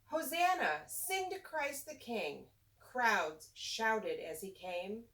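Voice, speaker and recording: A woman reading a rhyming children's storybook aloud, with deliberate, teacher-like delivery and simple asides to young listeners. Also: a little high, sing-song, a bit bright, far from the mic, rough recording.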